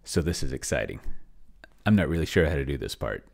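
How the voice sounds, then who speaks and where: A middle-aged man hosts a casual screen-share in a very low voice. His intonation moves around freely, with plenty of vocal fry. He rambles and mutters, thinking out loud as he reads and types. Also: sing-song, brisk. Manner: rambling, muttering to himself while reading and typing. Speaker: host, solo roleplayer testing an AI dungeon master. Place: indoor home room with shelves.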